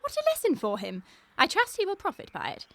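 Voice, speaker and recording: Prim preachy english governess, middle aged, coy, very swoopy voice, radio comedy. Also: female voice, high voice, huge pitch swings, fairly quick, noisy background, heavily acted. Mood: stern, moralising. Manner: arch, sententious, precise. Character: prim, moralising middle-aged spinster governess, coyly flirtatious. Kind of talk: period stage comedy radio acting.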